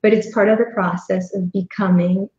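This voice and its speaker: A woman's voice: mid-range voice, steady tone, normal speed. A woman speaking coach, a guest in a casual interview, telling stories and murmuring agreement. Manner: warm storytelling, frequent affirming murmurs. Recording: echoey, dull remote audio.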